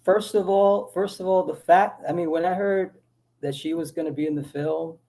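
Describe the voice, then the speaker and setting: Dominican-born actor guest, gravelly and slightly high voice, lively restart-filled anecdotes. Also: male voice, moderate pace, poor audio. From Dominican Republic. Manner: animated storytelling, many restarts. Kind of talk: casual interview talk.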